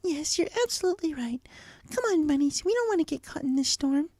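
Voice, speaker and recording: Storybook pony played by a man: timid young mare, extremely high, mellow, sweet and soft-spoken, close dry sound. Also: animated, a little fast, no room sound. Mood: gentle, reassuring. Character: shy gentle young female pegasus pony.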